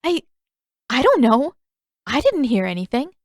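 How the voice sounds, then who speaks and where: A woman's voice: high voice, sing-song, a little slow, no echo, clean studio sound, voice pushed up, exaggerated voice. A woman voicing a defiant, flustered teenage girl who argues emphatically in a narrated fantasy story. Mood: flustered, startled. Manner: indignant, emphatic.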